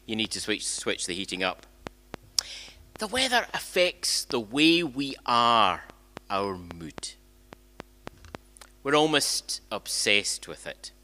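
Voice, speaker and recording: A man's voice: medium pitch, very animated, takes it slowly, pauses often, bright, forceful tone. An older man preaching a conversational sermon as a minister in a church, often restarting and repeating phrases and posing rhetorical questions. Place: church sanctuary, indoors. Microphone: gooseneck lectern microphone.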